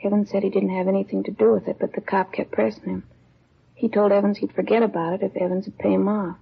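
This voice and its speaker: An actress playing a jaded, evasive addict of twenty-three in a radio drama, talking in clipped, offhand slang with a husky, gravelly voice. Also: female voice, medium pitch, little pitch movement, quick pace, slight background noise. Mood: evasive, weary. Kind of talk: 1950s radio drama acting. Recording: muffled old radio broadcast recording.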